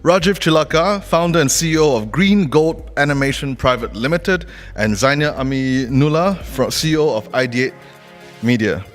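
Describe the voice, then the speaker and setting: A man's voice: mid-range voice, normal speed, soft background music. Male emcee, upbeat stage patter, echoing conference hall. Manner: upbeat, lively introductions. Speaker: event emcee. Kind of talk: stage announcement. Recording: reverberant hall.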